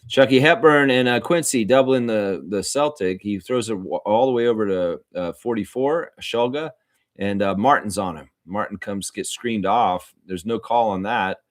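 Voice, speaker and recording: A man's voice: on the low side, moderate pace, no echo. A man giving casual, conversational fan play-by-play of basketball, rambling a little and repeating himself. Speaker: livestream host calling basketball play-by-play. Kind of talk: casual fan sports commentary.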